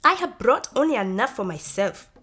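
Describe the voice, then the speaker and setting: Woman acting a haughty young woman, high and scolding. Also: big pitch swings, normal speed, sharp tone, breathy edge, full character voice. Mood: scornful, angry. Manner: imperious, scolding. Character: mean, haughty, bad-tempered beautiful young woman, scornful. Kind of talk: storybook character voice.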